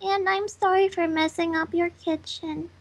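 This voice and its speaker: A woman's voice: very high voice, fairly even intonation, moderate pace. A woman voicing flustered, indignant young female cartoon ponies with a whiny, defensive manner. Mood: sheepish.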